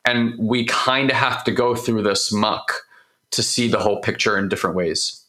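A man with a hoarse voice, recovering from losing it, hosting a personal growth talk and speaking openly and emotionally, rambling with restarts. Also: on the low side, normal speed, bright, forceful tone. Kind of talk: candid heartfelt monologue.

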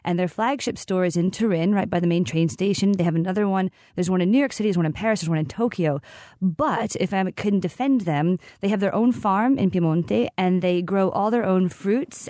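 Female tour guide guest, lively storyteller, dry close mic. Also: lowish voice, normal speed, no echo. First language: not Italian. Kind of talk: radio guest chat.

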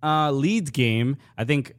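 A man co-hosting a casual soccer podcast, talking in a halting way with many fillers and restarts. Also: medium pitch, expressive. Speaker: podcast co-host.

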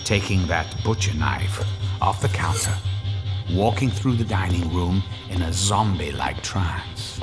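An actor playing an ominous storyteller, narrating a horror drama in a suspenseful, deliberate manner. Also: male voice, low-pitched, over music. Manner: suspenseful, deliberate, drops toward whispers.

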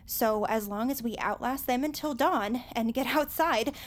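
A woman playing a nerdy, earnest high school superfan in an audio drama, explaining things at length. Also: moderate pace, lightly acted. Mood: tense, matter-of-fact.

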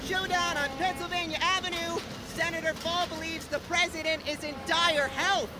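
An actress playing an excitable young newspaper crier, loudly declaiming headlines in an audio drama. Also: female voice, extremely high pitch, normal speed, music in the background. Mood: excited. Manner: loud, declamatory. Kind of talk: old-time newsie hawking.

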